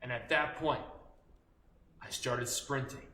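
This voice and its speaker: A man telling interactive stories to young children, animated and instructive, with emphatic pauses. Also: mid-range voice, roomy sound. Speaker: children's storyteller.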